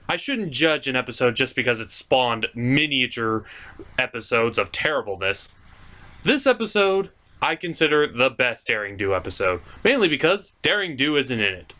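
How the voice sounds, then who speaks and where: A man narrates a scripted comedy review as the show's host. His pitch swings widely from phrase to phrase, and his delivery is theatrical, with a put-upon, exasperated air. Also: pushed and bright. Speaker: host of a puppet cartoon review show.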